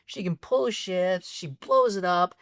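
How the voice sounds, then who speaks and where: A woman co-hosting a film podcast, ranting in exasperation about a movie's plot holes, her voice going a bit raspy. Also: fairly low pitch, a little slow, no room sound, clean studio sound. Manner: exasperated, ranting, animated complaints. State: voice getting raspy. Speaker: podcast co-host. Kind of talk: casual film critique chat.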